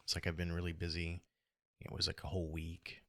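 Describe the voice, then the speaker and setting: A middle-aged American man with a hoarse, gravelly voice, talking alone in a reflective, halting and rambling way as a casual podcast host. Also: low-pitched, monotone, a bit bright, no room sound. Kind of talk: casual solo podcast monologue.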